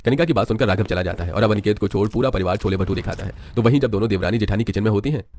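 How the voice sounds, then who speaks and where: A man's voice: fairly low pitch, very fast, darkish tone, slight background noise. A man narrating a scripted bedtime story as a storyteller. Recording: music behind the voice. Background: background music.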